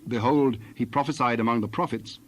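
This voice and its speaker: A man narrating dramatized scripture in a formal, measured storytelling style. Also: mid-range voice, slight background noise.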